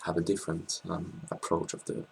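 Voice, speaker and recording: A man in his twenties with a French accent, chatting casually as a guest, hesitant with many pauses and restarts, recorded on a laptop webcam in a small room. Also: low voice, completely flat delivery, gravelly, a little mellow, slightly rough, low-quality recording. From France. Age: 20s. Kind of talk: casual interview chat.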